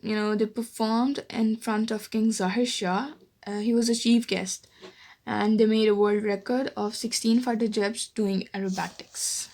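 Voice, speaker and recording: A woman's voice: medium pitch, little pitch movement, measured pace, a little mellow. A woman casually showing and reading from a book as a vlogger, in an amateur recording. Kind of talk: casual book flip-through and read-aloud.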